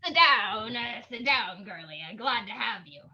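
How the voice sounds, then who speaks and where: A woman acts out a gold prospector man from an old radio play, boastful and leering. The delivery is folksy and chuckling, with a bright edge. The recording is muffled and lo-fi. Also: fairly high pitch, lively intonation, moderate pace, pitched below the performer's own voice, heavily acted. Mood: flirty. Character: boastful, flirtatious male gold prospector in a tavern. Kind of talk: old-time radio acting. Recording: muffled, lo-fi microphone.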